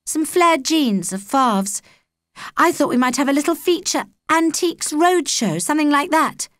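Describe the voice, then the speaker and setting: A woman's voice: high-pitched, lively intonation, moderate pace, fairly bright tone, no echo, clean studio sound, pitched above the performer's own voice. A British woman voicing an earnest, hesitant young girl in a children's audiobook. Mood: eager. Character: young girl, earnest, unsure, a little anxious.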